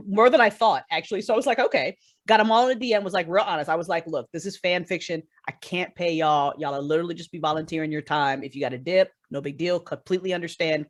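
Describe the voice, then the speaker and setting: A woman chatting casually as an interview guest, blunt and emphatic, with slangy phrasing and easy swearing. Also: lowish voice. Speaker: guest, writer and creator of audio dramas. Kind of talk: casual, profane fan chat.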